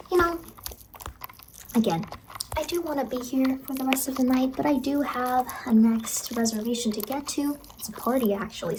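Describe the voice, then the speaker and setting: A woman voicing a cheery, eerie clown ice-cream vendor in a horror ASMR roleplay, softly coaxing and reassuring a child up close over background noise. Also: sits high, low-quality recording.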